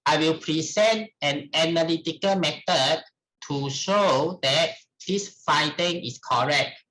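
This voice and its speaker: A middle-aged man with a Malaysian accent gives a formal academic presentation in a halting, deliberate manner. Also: a little high, sharp tone, rough recording. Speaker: researcher presenting at a conference.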